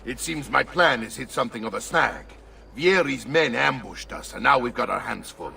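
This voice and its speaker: Game role: gruff mercenary uncle, Italian accent, forceful gravelly voice, shrewd and in charge. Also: male voice, medium pitch, expressive, moderate pace, slight background noise, full character voice. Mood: urgent. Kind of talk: video game cutscene acting. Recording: slightly distant, faint music behind.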